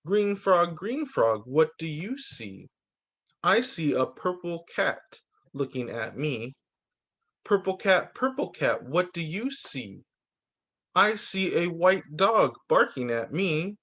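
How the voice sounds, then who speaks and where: A man with a slightly high voice reads storytime books for young children. He goes very slowly and deliberately, with a lively, swooping melody. His phrasing is sing-song, like a teacher leading small listeners through each line.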